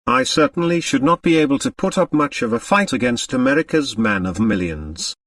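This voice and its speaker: A man voicing a sardonic, carefree gentleman in an audiobook novel, with an easy mocking, ironically polite manner. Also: mid-range voice, big pitch swings, brisk, no room sound, distant mic. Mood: light, amused. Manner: ironically polite, light and amused.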